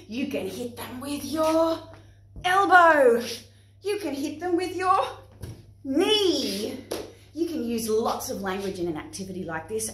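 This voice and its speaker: An Australian woman with a fairly high voice talks to young children and their parents. Her delivery is expressive and instructive, like a playgroup session. She is recorded in a very echoey room, some way from the microphone. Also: distant mic, a little hiss or hum. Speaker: playgroup facilitator and presenter.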